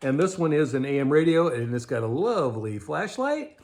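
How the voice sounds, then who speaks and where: A middle-aged American man with a slightly higher-pitched voice presents to camera as a show host. He talks in an informal, explanatory way, as if walking a viewer through a product. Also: sing-song, normal speed, darkish tone. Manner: conversational, explanatory. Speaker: host of a budget prepping show. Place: indoors in a garage or storage room with shelves.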